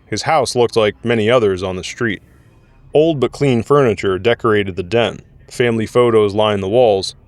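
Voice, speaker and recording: A man's voice: on the low side, moderate pace, dry recording, faint music behind. A man narrating a scripted audio drama in the role of storyteller.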